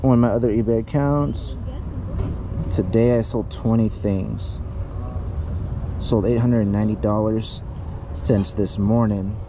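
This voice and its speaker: A man's voice: on the low side, steady tone, unhurried, pauses often, background noise. A man in his thirties selling at a flea market, talking casually to his viewers in a rambling way, often restarting his sentences. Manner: chatty, rambling, restarts often, laughs easily. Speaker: flea market reseller vlogger. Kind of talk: casual vlog talk to viewers. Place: outdoor flea market parking lot, in a parked truck.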